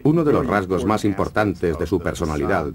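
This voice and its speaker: Deep-voiced sober witness. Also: male voice, lively intonation, a little fast. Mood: sober, reflective. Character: sober male witness recalling a deceptive acquaintance. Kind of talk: dramatised testimony reading. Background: music.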